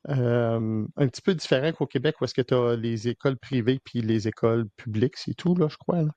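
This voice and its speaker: A man's voice: mid-range voice, a little fast, mellow, no room sound, dull audio. A man hosting a podcast interview in casual Québécois French, hesitant, with many fillers and restarts. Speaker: podcast host.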